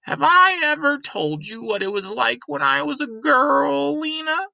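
A man's voice: very high-pitched, expressive, a little slow, vocal fry, sharp tone, no echo, pitched above the performer's own voice, exaggerated voice. A man voicing a forgetful old grandmother who rambles wistfully in a graphic novel read-aloud. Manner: wistful reminiscing.

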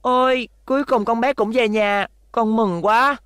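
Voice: medium pitch, fairly even intonation, unhurried, a bit bright, a little hiss or hum, natural voice. An actor voicing an earnest, polite young robot boy hero with a boyish manner in a cartoon dub.

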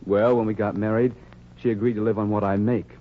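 A man's voice: lowish voice, level tone, brisk, lightly acted. A man with an American accent acting an earnest, plaintive, lovelorn working-class husband in 1940s radio comedy. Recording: dull, hissy 1940s radio recording.

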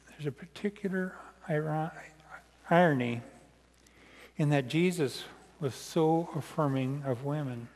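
Older American pastor in an echoing hall. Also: male voice, a little high, a little mellow. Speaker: pastor preaching. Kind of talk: sermon read from notes. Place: large church sanctuary, indoors, seated at the front. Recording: reverberant church hall sound.

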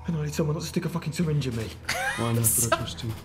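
A man playing a hardened, threatening crook, curt and gruff. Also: a little high, racing, natural voice. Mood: tense. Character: hardened male London crook, blunt and threatening. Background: film music.

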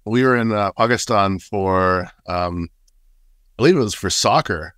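A man in his forties who grew up in Nepal, a guest telling animated stories with frequent laughs over a webcam from a small room. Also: fairly low pitch, a little slow, no echo, studio quality. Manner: animated, laughs often, gestures broadly. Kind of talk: casual storytelling. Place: small room with paper screens. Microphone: video call webcam.

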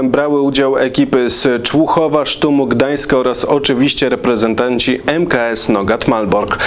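Young guy reading local news off a sheet, even delivery, slight rumble under it. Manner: steady reading from a script. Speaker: news presenter. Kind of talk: read news bulletin. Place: small studio in front of a brick wall.

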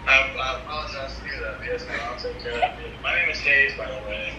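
A man's voice: medium pitch, wildly swooping pitch, quick pace, recorded from a distance. A polite, apologetic young man from North Carolina, talking through a phone speaker. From North Carolina, United States. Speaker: prank call recipient. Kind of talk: phone conversation. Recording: phone speaker picked up in echoey room, loud music.

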